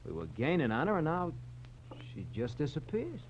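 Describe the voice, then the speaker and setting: A high-voiced American cabbie, excitable and wary, with a folksy working-class manner. He comes through a muffled, noisy vintage radio recording. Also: normal speed. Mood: startled. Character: excitable working-class male cab driver, cautious family man. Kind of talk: 1940s radio character acting. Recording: muffled, noisy old radio with engine sound.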